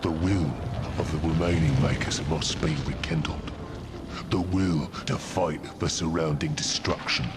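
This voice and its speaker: A man voicing a huge ancient stone being in a video game, gravelly, weighty and solemn. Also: a little slow, heavy background noise. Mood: solemn, wistful. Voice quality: gravelly, booming. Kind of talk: video game cutscene acting. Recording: loud rumbling ambience behind voice.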